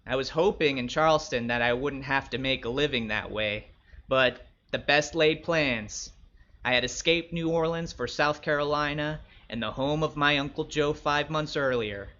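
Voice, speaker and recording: A man plays a wary young hustler from the 1960s telling his own short story with wry bluntness. The voice is bright and forceful at a middle pitch, on slightly dull, lo-fi audio. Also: natural voice. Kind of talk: first-person short story narration with acted dialogue.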